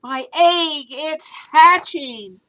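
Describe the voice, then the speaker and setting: A woman's voice: lowish voice, a little slow, creaky, breathy edge, no echo, pitched below the performer's own voice, full character voice. A woman voicing a faithful male elephant in a storybook, earnest and plain. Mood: overjoyed.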